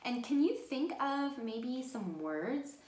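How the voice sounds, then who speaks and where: A woman leads a storytime for small children with very lively, swooping intonation. Her delivery is encouraging and sing-song as she talks straight to the kids. The sound is roomy and echoing. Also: high voice, takes it slowly. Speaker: children's storytime host at a library. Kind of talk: interactive storytime for young children.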